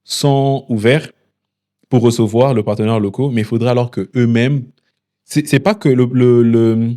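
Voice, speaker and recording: Young guy, founder talking in an interview, lots of euhs, warm low-key voice. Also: fairly even intonation. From DR Congo. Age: 20s. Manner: measured, with many hesitations. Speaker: founder and CEO of a delivery startup. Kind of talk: interview answers.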